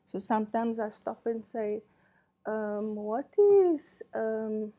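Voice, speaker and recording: Slow-spoken woman lecturer. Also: on the high side, lively intonation, dark tone. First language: Afrikaans. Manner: unhurried, candid, self-deprecating, laughs often. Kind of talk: presentation to colleagues.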